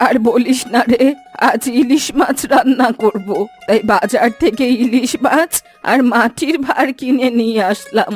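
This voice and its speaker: A woman playing a brisk, bossy old village granny in a fairy-tale cartoon. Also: a little high, husky, soft background music. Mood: chatty. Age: older. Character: bossy, busy old village granny who cooks hilsa.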